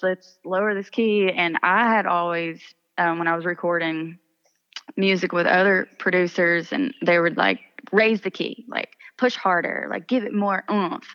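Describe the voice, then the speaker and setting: A young woman from Kentucky with a Kentucky accent, a singer-songwriter chatting easily in an interview and laughing often. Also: lowish voice. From Kentucky, USA. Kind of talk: casual interview chat. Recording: dull lo-fi audio.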